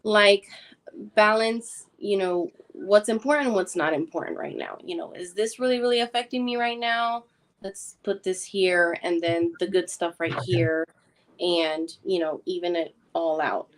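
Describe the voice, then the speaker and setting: A woman, a guest psychic on a live stream, giving reassuring readings with many pauses and ums. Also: on the low side.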